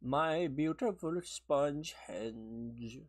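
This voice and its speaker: A man's voice: fairly high pitch, very slow. A man who is a little sick and sniffly, chatting casually on a game livestream, rambling and laughing as he answers viewers. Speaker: streamer. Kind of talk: casual livestream chat while gaming, replying to viewers.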